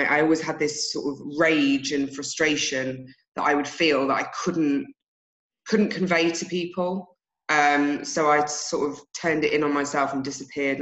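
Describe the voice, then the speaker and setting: An English woman guest talks frankly and reflectively, with a self-deprecating edge. Her voice is bright, and the recording comes over a roomy, dull-sounding remote line. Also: low-pitched. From England. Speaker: podcast guest. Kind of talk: candid personal story in an interview.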